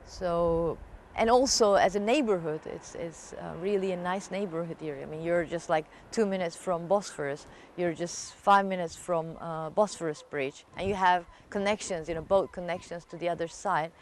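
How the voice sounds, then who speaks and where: A woman with a slightly low voice talks casually in an interview. She pauses often, repeats words and leans on informal emphasis as she thinks aloud. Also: slight background noise. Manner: halting, repetitive, informal emphasis.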